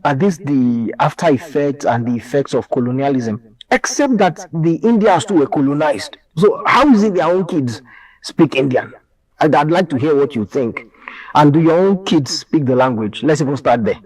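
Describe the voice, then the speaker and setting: A man with a Nigerian accent whose first language is Yoruba, telling an animated story and mimicking people. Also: a little high, normal speed, far from the mic, rough recording. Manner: lively, mimics people, mixes in pidgin. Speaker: content creator.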